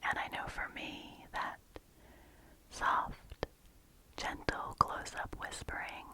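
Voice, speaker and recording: A woman hosting an ASMR channel, rambling in a deep, low, soft-spoken voice that keeps dropping into whispers, close to the microphone. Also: rough recording. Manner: alternates soft low speaking with breathy whispers.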